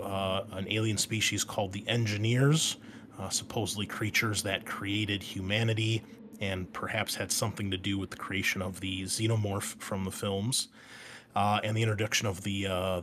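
A man hosting an informal introductory monologue, talking in an enthusiastic, conversational way as he explains things. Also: fairly low pitch. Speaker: host and game master. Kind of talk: informal introductory monologue about a sci-fi franchise and tabletop game. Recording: clear background noise.

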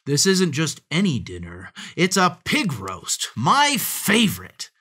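A woman acts out a sly storybook fox, a greedy hunter savoring a catch. The delivery is bright and forceful, slow and gloating, with dramatic swoops in pitch. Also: wildly swooping pitch, breathy edge, full character voice.

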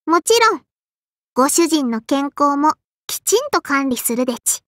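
A woman's voice: high-pitched, big pitch swings, measured pace, no echo, studio quality. A woman voicing a small, strict and prim sparrow-spirit girl in an anime game, with a childlike lisp and polite but scolding speech. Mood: polite, dutiful. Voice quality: childlike, lisping. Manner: polite but scolding, quirky verbal tic. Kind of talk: anime game character voice lines.

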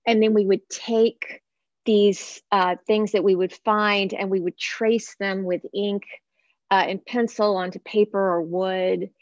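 A woman's voice: mid-range voice, fairly even intonation, unhurried, dry recording. A woman artist describing her work on a video call, hesitant with many fillers. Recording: dull, dry video call audio.

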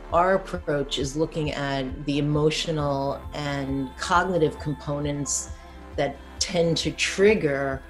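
A woman in her fifties with a notably low voice speaks with confident assurance. She talks from a living room, with soft music underneath. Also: rough recording. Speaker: clinical director. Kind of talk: interview.

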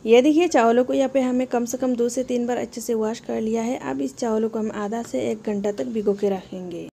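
Gravelly-voiced woman narrating a home recipe. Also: a little high, fairly even intonation, fairly quick, warm tone, slight background noise. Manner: instructive, talks the viewer through each step. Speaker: cooking channel host. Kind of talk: step-by-step recipe voice-over.

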